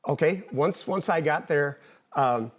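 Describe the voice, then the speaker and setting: An older man, an interview guest, explaining things conversationally in a plain, halting way with repeated words. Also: a little high. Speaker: interview guest, former air traffic controller and pilot.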